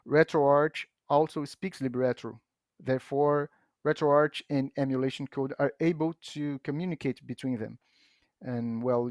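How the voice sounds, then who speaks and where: A man whose first language is Portuguese, patiently explaining software on a podcast, often restarting his sentences. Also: medium pitch, no room sound, studio quality. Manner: patient, halting with frequent restarts and fillers. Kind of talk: casual explanatory chat.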